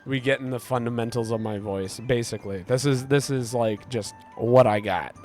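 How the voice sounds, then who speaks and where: A man streaming a game, chatting with his viewers and answering their messages. He is sick and congested, and his voice is hoarse, gravelly and deep. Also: normal speed, darkish tone, soft background music. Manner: rambling, reads chat aloud and answers it. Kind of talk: casual live-stream chat while gaming.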